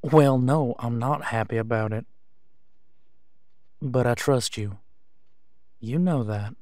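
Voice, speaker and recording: A man's voice: medium pitch, slow, a little mellow, close to an everyday voice. A man playing a protective, caring werewolf pack leader in an audio drama, with a casual, reassuring manner. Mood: concerned, tender. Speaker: voice actor.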